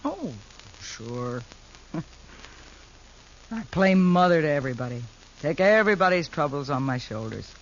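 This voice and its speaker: An actor playing a world-weary, self-pitying frontier character in a 1950s radio western. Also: male voice, a little high, big pitch swings, a little slow, long pauses. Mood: bitter, weary. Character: bitter, world-weary frontier soul who mothers everybody. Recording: dull, noisy old radio recording.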